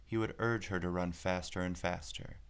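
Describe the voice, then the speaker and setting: Deep, gravelly man reading a storybook. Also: fairly even intonation, moderate pace. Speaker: read-aloud narrator. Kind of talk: scripted storybook narration.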